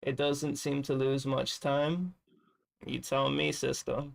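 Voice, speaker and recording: A tired man streaming a game, chatting loosely with a raspy edge and casual swearing. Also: mid-range voice, level tone, slow pace. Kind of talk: casual gaming stream chat.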